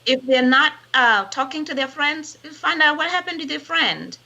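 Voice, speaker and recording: A woman's voice: high-pitched, expressive, moderate pace, gravelly, bright, forceful tone, muffled recording. A woman from Kenya, speaking as a mental health professional, earnestly advising on a talk show. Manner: earnest and advising, with frequent restarts. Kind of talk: expert guest on a casual talk show.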